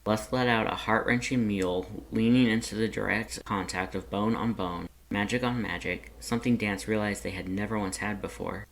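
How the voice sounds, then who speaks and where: Story narrator, scripted fan fiction reading, expressive, dramatic delivery. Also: low voice, moderate pace, hardly any pauses, a little hiss or hum.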